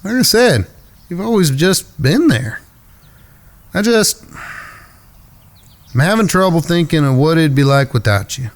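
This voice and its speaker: A man's voice: on the high side, big pitch swings, a little slow, long pauses. A man playing an earnest, protective younger brother in a cowboy gang, plainspoken and folksy, in a western audio drama. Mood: pensive, worried.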